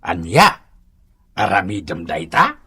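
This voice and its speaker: An older Ilocano father from a comic radio drama. His voice swoops hugely and moves at a slow pace. He is exclamatory, teasing, easily riled and doting. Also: deep voice, wildly swooping pitch, sharp tone, husky, full character voice. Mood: grumbling. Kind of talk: comedic radio drama acting.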